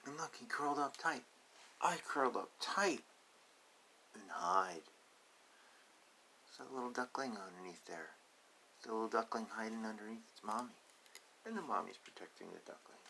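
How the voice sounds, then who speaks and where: A man's voice: on the low side, lively intonation, moderate pace, vocal fry, pauses often, bright, forceful tone, a little hiss or hum, poor audio. A man reading a picture book aloud to young children, adding playful, simple explanations in casual asides.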